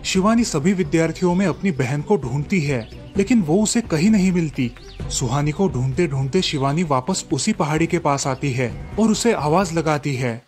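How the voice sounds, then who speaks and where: Guy narrating a kids cartoon story, slightly high voice, soft music behind. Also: normal speed. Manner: steady storytelling delivery.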